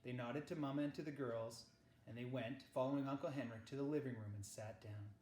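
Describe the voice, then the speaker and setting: A man reading a children's novel aloud as narrator, with measured, dramatic phrasing. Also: medium pitch, steady tone, warm tone. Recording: echoey, roomy sound.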